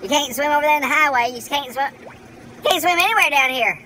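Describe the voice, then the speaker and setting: A man's voice: very high-pitched, fast talker, pushed and bright. A man in a comedic vlog who plays a tour guide and rambles to his phone camera and to people off camera. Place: outdoors, by a lake, then indoors in a truck cab. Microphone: phone at arm's length. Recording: noisy outdoor phone recording.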